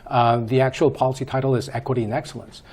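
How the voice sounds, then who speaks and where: A man in his fifties from Peru, answering interview questions as a guest in a measured way with many hesitations. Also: mid-range voice, level tone, darkish tone, slightly rough. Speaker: guest, school board candidate.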